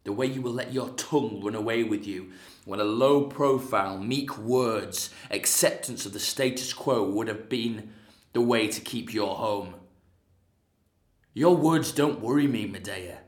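Young man doing ancient Greek hero, scornful, lecturing, slow and deliberate, echoey room. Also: fairly low pitch, natural voice. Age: 20s. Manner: loud, lecturing, reproachful. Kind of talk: drama school monologue. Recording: roomy echo.

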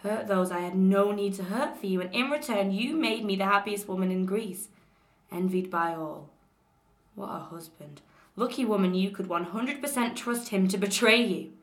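A woman's voice: mid-range voice, normal speed, lightly acted. A young woman acting a betrayed, furious sorceress queen in a bitter, mocking dramatic monologue. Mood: bitter, scornful. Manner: sarcastic, bitter, rising to outbursts. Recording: roomy echo.

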